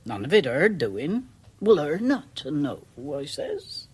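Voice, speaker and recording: A village charwoman from a radio play, with a rural English accent. She speaks slowly and haltingly, rambling in a folksy, deferential way. She sounds like a superstitious, uneasy teller of local tales. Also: deep voice, sing-song, a little mellow, breathy edge, exaggerated voice. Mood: apologetic, hesitant. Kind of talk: radio drama acting.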